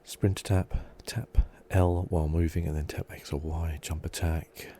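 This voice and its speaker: A soft-spoken man giving relaxed, rambling ASMR commentary as he plays a video game, thinking aloud. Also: a little slow, slightly rough. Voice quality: soft-spoken, low-key.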